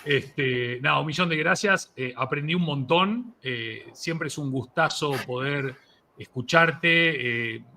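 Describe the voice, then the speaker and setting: Male live-show host, slightly high and forceful, effusive and conversational, with some background noise. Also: takes it slowly. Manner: effusive, enthusiastic praise of guest. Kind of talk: casual, unscripted interview chat.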